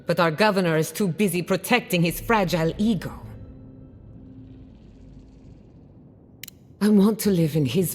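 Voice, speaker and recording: A woman's voice: on the low side, darkish tone, slight background noise, close to an everyday voice. An actress playing a headstrong, sardonic young woman scholar in a video game, with a blunt way of talking. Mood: bitter. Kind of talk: video game dialogue acting.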